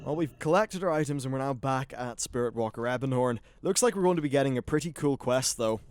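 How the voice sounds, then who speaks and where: A man's voice: medium pitch, fast, a little mellow, clear, resonant tone. A man giving casual, informal let's-play commentary on an online fantasy game, mildly sweary as he reacts to what unfolds. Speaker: gaming YouTuber.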